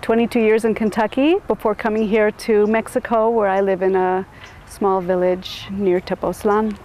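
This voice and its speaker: A middle-aged woman from suburban Chicago, answering interview questions in a thoughtful, halting way with many restarts. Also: medium pitch, darkish tone, a little hiss or hum. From suburban Chicago, USA.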